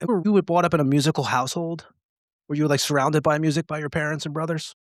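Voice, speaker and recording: A man's voice: a little high, fairly even intonation, fast, dry recording, studio quality. A man hosting a podcast, asking long, rambling questions full of fillers. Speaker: podcast host. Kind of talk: casual interview questions.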